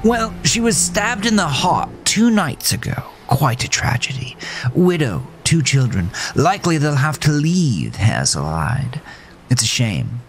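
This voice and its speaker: A man's voice: fairly high pitch, big pitch swings, measured pace, faint music behind, pitched above the performer's own voice. A man voicing a dapper, sinister talking horse in a horror audio story, theatrical, formal and effusively genteel. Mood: darkly gossiping.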